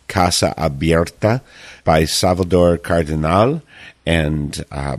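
A man's voice: very deep voice, no room sound. A man hosting a radio interview, introducing his guest and asking conversational, opinionated questions. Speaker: radio show host.

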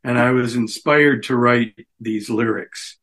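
A man's voice: mid-range voice, slow, fairly bright tone, slightly rough. A middle-aged man, a singer and guitarist, chatting easily as a guest in a radio interview and laughing readily. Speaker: musician guest. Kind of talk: casual radio interview chat.

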